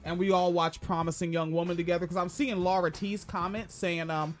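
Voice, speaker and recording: A man with a high-pitched voice, casually co-hosting a live-streamed podcast, rambling, swearing and joking. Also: fairly even intonation, fairly quick, soft background music. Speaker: podcast co-host.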